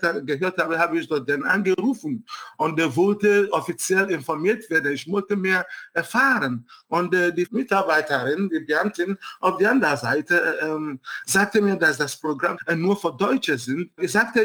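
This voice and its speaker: Bright-voiced man with an African accent. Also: sits high. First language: not German. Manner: halting, many fillers and self-corrections. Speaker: guest. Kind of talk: personal story in a panel talk.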